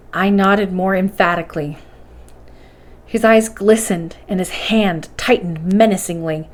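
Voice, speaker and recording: Woman reading a bedtime story aloud. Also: medium pitch. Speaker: storybook reader.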